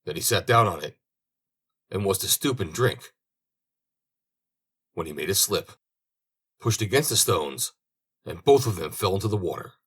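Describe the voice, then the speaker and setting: A man reading a storybook as an audiobook narrator, with expressive delivery. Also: low-pitched, moderate pace, long pauses, breathy edge. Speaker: audiobook reader.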